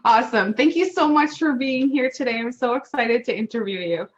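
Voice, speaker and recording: A woman's voice: a little high, a little fast. A middle-aged woman hosting an online interview in a chatty, enthusiastic, casual way. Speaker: host interviewing a guest. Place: remote online call. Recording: dull, lo-fi online call audio.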